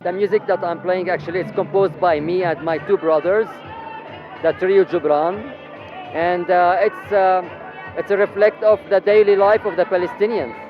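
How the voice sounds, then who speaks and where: A man speaks outdoors at a festival, stopping often to find his words. Loud band music and a chattering crowd fill the background, and the dull, lo-fi sound takes the edge off his voice. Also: high voice, fairly even intonation. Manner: halting, searching for words. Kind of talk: interview at a festival.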